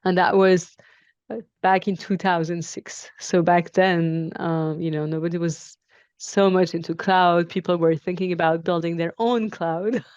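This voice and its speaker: A woman in her forties from Iran and France speaks in a fairly low register, as an interview guest would. She explains things reflectively and often reworks a sentence midway. The sound is close and dry, with a slightly dulled, lo-fi top end. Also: steady tone, measured pace, darkish tone, clear, resonant tone, dry recording. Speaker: interview guest, technology professional. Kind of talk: conversational interview answers.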